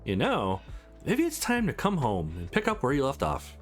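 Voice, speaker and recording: A man's voice: medium pitch, wildly swooping pitch, moderate pace, faint music behind. A man playing an easygoing, sincere male visual novel protagonist in a friendly, lightly teasing way.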